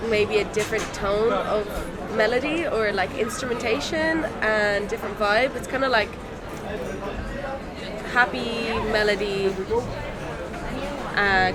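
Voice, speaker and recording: A woman's voice: mid-range voice, unhurried, long pauses, a loud crowd. A young Irish woman, a musician, talking casually in an interview at an outdoor café terrace, loose and chatty. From Ireland. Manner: loose and chatty, full of "like" and "you know".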